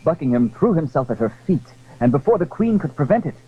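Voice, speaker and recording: A man's voice: on the high side, big pitch swings, a little fast, breathy edge. A man narrating a literary drama in a formal, scripted storytelling style. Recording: very muffled, lo-fi old recording.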